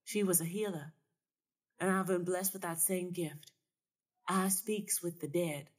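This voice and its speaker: A woman's voice: fairly low pitch, fairly even intonation, measured pace, husky. A woman voicing a knowing Southern woman seer in a story, folksy, solemn and assured.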